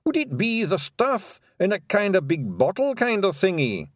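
A man voicing a slow, careful Pictsie warrior. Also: high voice, wildly swooping pitch, no room sound, studio quality, pitched above the performer's own voice. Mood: wary.

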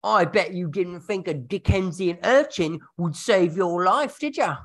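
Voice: medium pitch, lively intonation, a little slow. A performer voicing a cheeky, blunt young Dickensian street urchin with a Cockney British accent in a radio drama, full of slang.

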